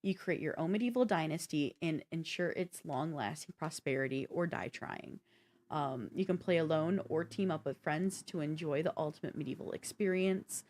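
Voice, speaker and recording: A woman with a slightly low voice talks as co-host of a gaming podcast. Her delivery is informal and conversational, laying things out in an explanatory way for listeners. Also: normal speed, a little mellow. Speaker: co-host of a video game podcast. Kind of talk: casual gaming talk show chat.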